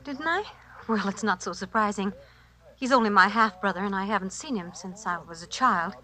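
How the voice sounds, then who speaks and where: Actress as anxious wife, bright tense voice. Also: female voice, medium pitch, normal speed, lightly acted, rough recording. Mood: uneasy, placating. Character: anxious, placating wife and mother. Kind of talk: 1940s radio drama acting.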